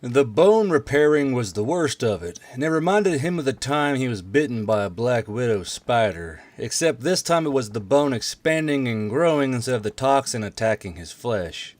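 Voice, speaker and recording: A man's voice: mid-range voice, expressive, normal speed, few pauses, no room sound. A man reading a fantasy war story aloud as scripted narration. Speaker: narrator, audiobook reader.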